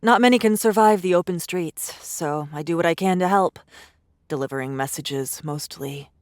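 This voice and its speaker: A guarded, athletic survivor woman, played by an actress, in an audio drama. She speaks in a lowish, dry voice, blunt and wary, with world-weary bitterness. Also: moderate pace, dry recording, lightly acted. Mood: tired, matter-of-fact.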